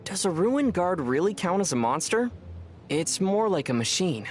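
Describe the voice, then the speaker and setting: A man voicing a calm, dry-witted, gently teasing young traveler in a video game. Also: sits high, normal speed, a little hiss or hum. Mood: thoughtful. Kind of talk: video game character acting.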